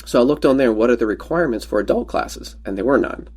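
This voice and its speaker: A man's voice: medium pitch, animated, quick pace, a little mellow, breathy edge. A man from Pennsylvania whose first language was Pennsylvania Dutch, a podcast guest telling rambling, joking stories about himself. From Pennsylvania, USA. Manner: joking, rambling anecdotes with self-deprecating humor. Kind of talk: casual conversational storytelling.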